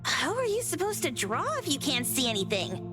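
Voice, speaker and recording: Very high, blunt little game companion. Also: female voice, very animated, moderate pace, music in the background. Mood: suspicious, incredulous. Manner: blunt, exclamatory. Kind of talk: video game voice acting.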